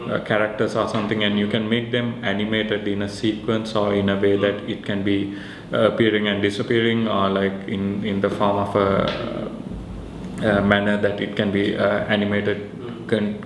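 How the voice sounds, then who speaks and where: A man in his thirties with a Sri Lankan accent, explaining his research project in an informal interview, pausing often mid-sentence. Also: fairly low pitch. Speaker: researcher and maker presenting a project. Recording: echoey room with faint background noise.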